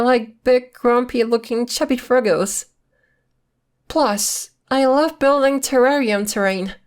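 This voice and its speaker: Woman playing a slow-talking, coy witchy girlfriend. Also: on the high side. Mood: coy, defensive. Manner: coy, teasing, casually conversational. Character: playful, evasive girlfriend who is secretly a witch. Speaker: voice actor. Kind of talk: ASMR roleplay acting, intimate one-sided dialogue.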